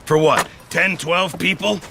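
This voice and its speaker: A man's voice: fairly high pitch, unhurried, creaky, pushed and bright, a little hiss or hum, heavily acted. An actor playing a chatty, folksy old navy man turned camp cook in a video game cutscene. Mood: defensive, worried.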